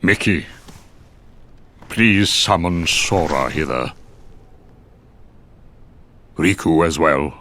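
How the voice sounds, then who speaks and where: A man's voice: extremely low pitch, extremely slow, pauses often, a bit bright, a little hiss or hum, heavily acted. A man playing a grave, wise old sorcerer in a video game, speaking in a solemn, formal manner. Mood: solemn, commanding. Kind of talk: video game cutscene acting. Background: background music.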